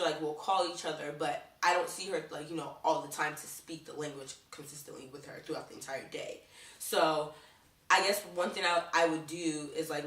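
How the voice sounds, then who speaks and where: A woman's voice: deep voice, a bit bright, reverberant, recorded from a distance. A young woman vlogging casually to camera, chatting and leaning on "like" in nearly every sentence. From Baltimore, USA. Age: young adult. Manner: chatty, leans on "like" constantly.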